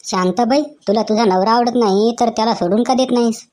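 A woman playing a sharp-tongued, nagging wife in comic cartoon skit dialogue. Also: on the high side, fairly quick, close to an everyday voice. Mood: complaining.

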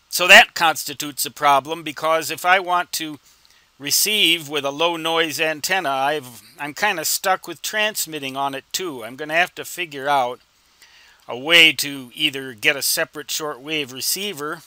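A man in his sixties giving an informal explanatory tutorial, with deliberate, emphatic phrasing and rhetorical questions. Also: on the high side, pushed and bright, clear, resonant tone, no room sound. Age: 60s. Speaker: instructor and ham radio hobbyist.

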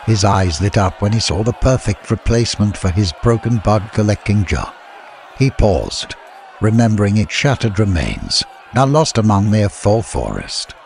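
A man reading a scripted story as an audiobook narrator, with a husky voice. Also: on the low side, very animated, moderate pace, a little mellow, slight background noise.

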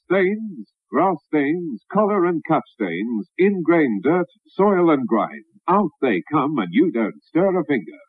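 A male announcer delivers an old radio advertisement. His medium-pitched, husky voice is lively. The recording is dry, muffled and narrow. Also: slow, few pauses, no echo. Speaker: commercial announcer. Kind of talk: 1960s radio advertisement read.

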